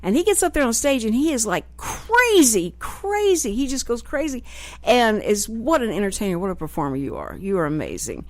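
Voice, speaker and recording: A woman hosting a live local radio talk show, chatting in an animated way and often cutting in. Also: a little high, moderate pace, no room sound. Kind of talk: casual live radio chat. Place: radio studio.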